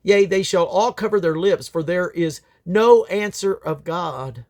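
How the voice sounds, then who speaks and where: A man reading aloud from the Bible as a scripture reader, sometimes halting and correcting himself on difficult words. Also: high-pitched, darkish tone. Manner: halting over difficult names, stumbles and self-corrects.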